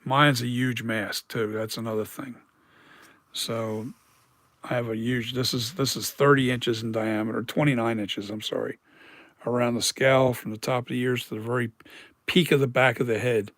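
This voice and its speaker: Hoarse man rambling through mask tips. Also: mid-range voice, level tone, moderate pace, a bit bright, no echo. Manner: rambling, self-correcting, explains practically. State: hoarse, dry voice. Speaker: mask maker streaming live. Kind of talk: casual live-stream chat.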